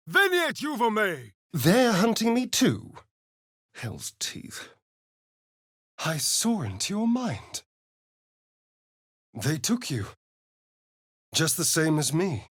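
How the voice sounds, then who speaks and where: A sly, theatrical vampire rogue. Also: male voice, fairly high pitch, a bit bright, husky, no room sound, clean studio sound, exaggerated voice. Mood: forceful spellcasting, then wary, muttering. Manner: arch, theatrical, drawling. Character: sly, theatrical male vampire spawn rogue, vain and sardonic. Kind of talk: video game character voice lines.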